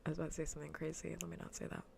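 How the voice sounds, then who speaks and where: A woman streamer chatting playfully and casually in a soft, breathy, often near-whispered ASMR style, close to the microphone. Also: low voice, completely flat delivery, rapid-fire, a little hiss or hum. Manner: playful, rambling, half-whispered casual talk. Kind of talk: soft-spoken ASMR gaming livestream chat.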